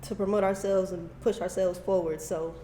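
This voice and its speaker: A woman's voice: lowish voice, steady tone, moderate pace. A young woman co-hosting a casual podcast chat, upbeat and affirming as she leads the questions. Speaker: co-host. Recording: roomy echo with faint noise.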